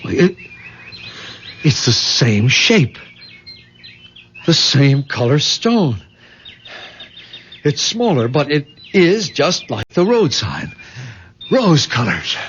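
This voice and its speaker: An anxious young everyman in an old radio play. His fairly high voice is earnest and very mobile, on a dull, faintly noisy recording. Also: huge pitch swings, drawn-out words, pauses often, fairly bright tone, heavily acted. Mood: puzzled, urgent. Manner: earnest, plain-spoken. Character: reasonable, anxious young everyman bystander named George. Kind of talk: old-time radio drama acting.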